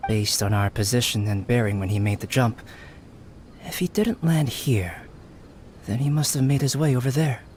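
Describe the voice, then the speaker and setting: Man voicing a calm, analytical young scholar. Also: medium pitch, a little hiss or hum, natural voice. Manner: measured, articulate, methodical. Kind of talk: game voice acting.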